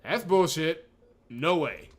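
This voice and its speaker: A man's voice: fairly high pitch, lively intonation, slow, pitched above the performer's own voice. A man voicing an earnest, stubbornly heroic young amnesiac in a visual novel, emphatic and pleading. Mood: disbelieving. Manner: emphatic, pleading, swearing when frustrated. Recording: clear background noise.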